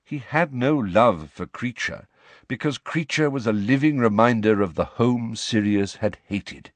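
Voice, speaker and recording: A man's voice: mid-range voice, dry recording, lightly acted. Man acting a weary, grave old wizard. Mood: quiet, firm. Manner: quiet, measured, grave. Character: calm, weary, wise old male wizard headmaster. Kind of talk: dramatic audiobook character reading.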